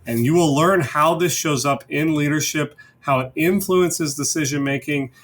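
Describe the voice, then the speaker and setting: A man's voice: on the high side, moderate pace, a bit bright. A man hosting a discussion podcast in a conversational style. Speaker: podcast host.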